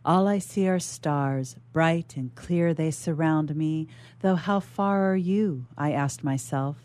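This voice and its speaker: Woman as dreamy, headstrong noble lady, lowish voice. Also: slow, darkish tone. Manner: poetic, musing.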